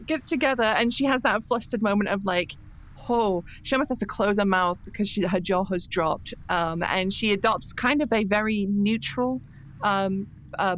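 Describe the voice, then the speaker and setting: A woman's voice: mid-range voice, slight background noise. A woman playing a tabletop roleplaying game, casually narrating her character's actions in hesitant, improvised phrases.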